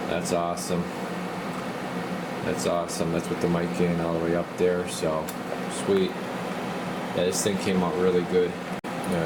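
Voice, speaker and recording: A man working as a CB radio technician, talking casually at his workbench with easygoing pauses and frequent fillers. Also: sits low. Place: repair shop workbench. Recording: loud steady fan hum behind.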